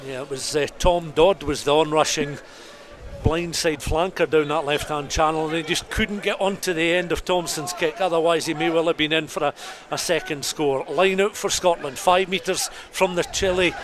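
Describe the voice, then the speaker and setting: Male radio rugby play-by-play caller, high register, detailed scene-setting, live from the ground. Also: fairly even intonation, moderate pace, crowd noise. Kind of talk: live radio sports commentary. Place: commentary position at a rugby ground.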